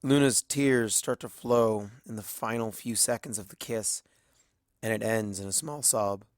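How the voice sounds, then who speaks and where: A man's voice: fairly low pitch, unhurried, dry recording, studio quality. A man reading scripted fiction aloud as a story narrator.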